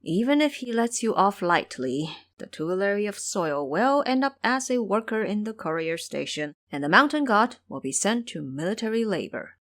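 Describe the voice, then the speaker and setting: A woman's voice: medium pitch, very animated, moderate pace, a bit bright, no echo. A woman voicing a stern, knowing male guardian god who explains and cautions emphatically in an audio drama. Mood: stern, warning.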